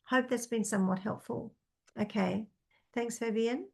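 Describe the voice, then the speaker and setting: A woman's voice: on the high side, fairly even intonation, unhurried, vocal fry, breathy edge. A woman giving psychic readings to viewers, reading out their questions and answering tentatively, with many fillers as she thinks aloud. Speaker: psychic reader.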